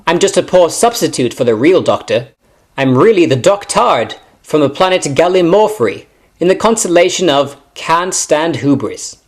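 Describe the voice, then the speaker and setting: Man playing a glib, animated time-travelling hero. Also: fairly high pitch, normal speed. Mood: boastful, self-mocking. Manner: glib, wordy, mock-serious. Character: zany, self-mocking, flippant male time-travelling hero. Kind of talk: amateur scripted sci-fi parody.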